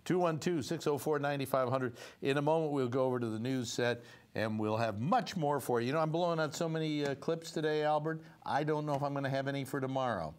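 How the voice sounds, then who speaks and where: A man's voice: mid-range voice, brisk, clean tone. Older male talk host, wry, loose, rambling studio chat. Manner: rambling, wry, full of fillers. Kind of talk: casual talk show banter. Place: studio set.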